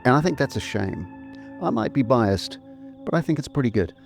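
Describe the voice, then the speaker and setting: A man's voice: mid-range voice, expressive, moderate pace, gravelly. A middle-aged or older man presenting a scripted trailer as its narrator. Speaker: presenter and remastering producer. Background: faint music.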